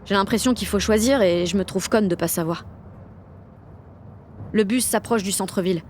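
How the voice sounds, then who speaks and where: A woman's voice: medium pitch, moderate pace, darkish tone, a little hiss or hum, lightly acted. An actress playing a slangy, self-conscious sixteen-year-old girl in a French audio drama, gushing and mocking herself as she narrates. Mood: anxious, self-doubting. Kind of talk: audio drama, slangy first-person inner narration and dialogue.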